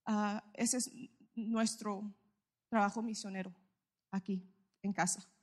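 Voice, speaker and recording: A woman giving a heartfelt, halting church testimony, pausing often with fillers. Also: medium pitch, steady tone, darkish tone.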